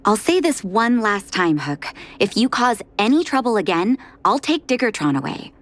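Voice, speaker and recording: An actress playing a stern but caring woman doctor in a video game, measured and gently scolding. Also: medium pitch, big pitch swings, close to an everyday voice. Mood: firm, warning.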